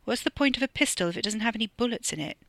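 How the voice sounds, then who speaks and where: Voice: medium pitch, animated, racing, creaky, fairly bright tone, no echo, clean studio sound, voice pushed up. A narrator voicing a smug, menacing upper-class young man with an arrogant drawl in an audio story. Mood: smug, casual.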